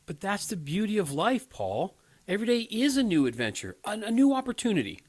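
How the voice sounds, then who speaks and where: Guy acting young earnest hotline counselor, radio drama, lively swoopy voice, a bit high. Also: a little fast. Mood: earnest, defensive. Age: 20s. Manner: earnest, hesitant, pleading.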